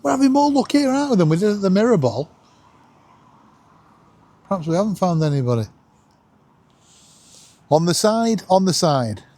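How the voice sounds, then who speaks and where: A man's voice: sits high, very animated, normal speed, long pauses, a little mellow. A man, chatting casually to camera as a vlog host in a jokey, self-deprecating way.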